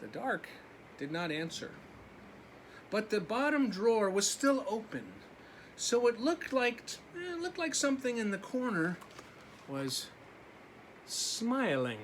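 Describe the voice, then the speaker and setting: A man reading a children's story aloud expressively, with deliberate, dramatic pauses. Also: high voice, sing-song, a little hiss or hum. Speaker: children's story reader, teacher.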